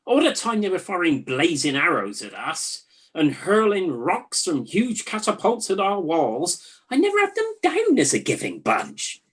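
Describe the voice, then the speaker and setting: Comedy radio play: woman as gullible, chatty ancient soldier, very animated swooping pitch, unhurried pace, slightly husky. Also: full character voice. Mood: earnestly impressed. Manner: wry, conversational comic musing. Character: bored, gullible, chatty Trojan night-watch soldier in a spoof. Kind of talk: comic radio play acting.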